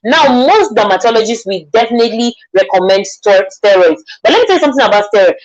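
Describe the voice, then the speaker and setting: A woman's voice: mid-range voice, animated, fast, darkish tone. Nigerian woman explaining skincare, muffled audio. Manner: earnest, repeats herself, asks "do you understand". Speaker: makeup artist guest. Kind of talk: live online interview.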